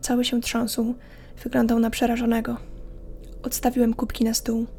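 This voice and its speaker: Female horror podcast narrator: slightly high voice, flat measured intonation, hushed suspense. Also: a little hiss or hum. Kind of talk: scripted horror story narration. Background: faint music.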